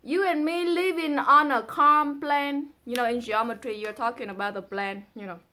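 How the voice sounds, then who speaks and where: A woman's voice: high voice, steady tone, moderate pace, sharp tone, a little hiss or hum. A woman with a Vietnamese accent, a native Vietnamese speaker, casually reading a poem with playful, chatty asides. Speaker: presenter reading a translated poem.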